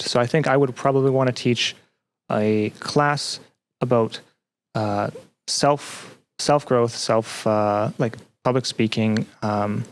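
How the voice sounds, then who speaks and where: A man chatting casually as an interview guest, often hesitant and searching for words. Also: medium pitch. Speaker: podcast guest, student representative.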